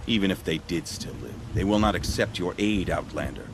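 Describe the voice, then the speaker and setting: A man plays a proud warrior of a hunting tribe with a very low voice. He declaims solemnly and sternly, as in a game cutscene, over clearly audible background noise. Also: fairly quick, close to an everyday voice. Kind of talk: video game cutscene acting.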